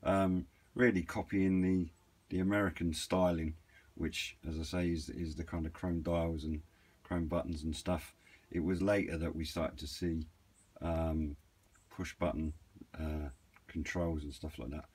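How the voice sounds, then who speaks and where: British guy, deep-ish low voice, chatting through a gear demo off the cuff, bit hesitant, no fuss. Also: little pitch movement, a little slow, darkish tone, breathy edge. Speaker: YouTube presenter of radio equipment videos. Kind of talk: casual unscripted product walkthrough.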